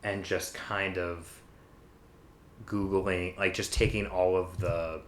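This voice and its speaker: A man's voice: low voice, little pitch movement, takes it slowly, fairly bright tone, a little hiss or hum. A man chatting casually as a podcast co-host, giving his opinions in an informal, hedging way. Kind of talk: casual chat, discussion. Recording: roomy, echoey room sound.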